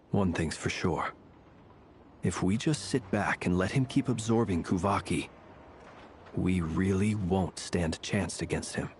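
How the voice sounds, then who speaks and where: Low-voiced, composed gentleman investigator. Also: slight background noise. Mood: grave, calculating. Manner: measured, articulate, formal. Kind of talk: video game voice acting.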